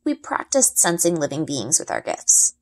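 A woman's voice: sits low, wildly swooping pitch, moderate pace, full character voice. A woman reads as a young boy in a children's storybook. He is an easygoing, dry-humored friend who explains things casually and a little hesitantly, with varied intonation. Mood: matter-of-fact.

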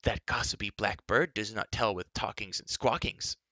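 A man's voice: fairly low pitch, normal speed, sharp tone, no echo. A man voicing a timid, whimpering furry creature that talks in whiny, broken phrases. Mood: fretful. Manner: whiny, snuffling broken speech.

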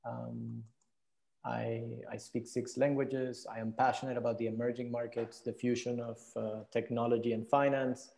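A man's voice: medium pitch, little pitch movement, normal speed, gravelly, darkish tone. A man in his thirties from Venezuela, answering reflectively as a guest on a video call interview. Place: indoor room, video call.

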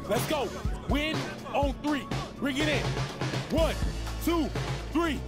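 A young male basketball star in a video game, stubborn and determined. He is a natural team leader with a lively, wide-ranging delivery. Loud music plays behind him. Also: very high-pitched, slow pace, exaggerated voice. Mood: fired up. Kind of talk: video game cutscene acting.

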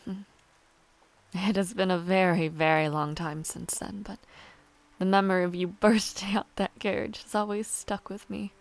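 A woman playing a young noble villainess in a romantic audio roleplay, proud yet shy and tender, speaking softly in formal, halting phrases. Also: mid-range voice, steady tone, vocal fry, no room sound, natural voice. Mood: wistful, soft.

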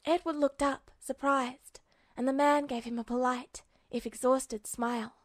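High-voiced woman narrating fiction. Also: steady tone, measured pace, no room sound. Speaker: audiobook narrator.

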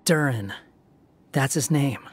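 A man's voice: mid-range voice, animated, slow pace, slight background noise. An actor playing an aloof, guarded young male wanderer in an anime game, terse and composed. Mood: calm.

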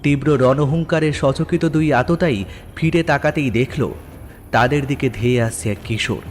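A man's voice: medium pitch, normal speed, a little hiss or hum. A man giving a dramatic scripted narration of a horror story.

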